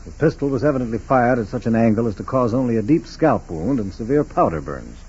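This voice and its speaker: An actor playing a brisk, businesslike federal agent questioning people in a 1940s radio crime drama. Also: male voice, mid-range voice, expressive, a little mellow, husky. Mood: matter-of-fact. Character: brisk, probing male federal agent on a case. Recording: muffled, noisy 1940s radio recording.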